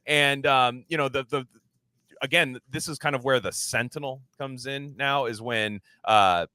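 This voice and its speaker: A man co-hosting a podcast, talking casually about film in a halting, rambling way and often slipping into imagined dialogue. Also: mid-range voice, pushed and bright, no echo. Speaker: podcast co-host.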